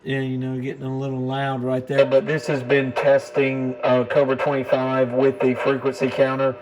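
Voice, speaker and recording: A man hosting a casual unboxing and gear demonstration, talking in a low-key, plain-spoken, understated way. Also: monotone, moderate pace. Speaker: host of a radio gear review channel. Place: indoor workbench in a radio shop. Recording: clearly noisy room recording.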